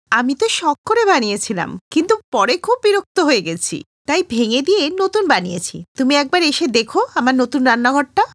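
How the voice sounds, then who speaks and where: A woman's voice: sits high, a bit bright, dry recording, clean studio sound. A woman voicing a rich, chatty, self-assured lady in a cartoon story. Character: rich, chatty sister-in-law showing off her home in cartoon.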